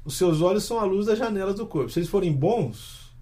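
A man hosting a casual chat, animated and quick to laugh as he tells stories. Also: high voice. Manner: animated, laughs often, storytelling. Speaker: host.

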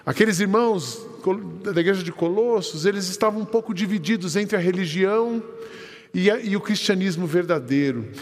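A man's voice: high-pitched, moderate pace. A Brazilian man preaching a sermon as pastor, telling a story and quoting the people in it. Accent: Brazilian Portuguese. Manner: lively storytelling, quoting others in conversation. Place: church hall. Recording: long church hall reverb.

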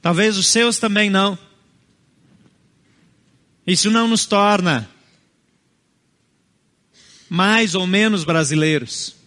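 A Brazilian man who first spoke another language at home, a pastor preaching an earnest sermon in short, measured phrases. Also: high-pitched, fairly bright tone, big-room sound. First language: not Portuguese.

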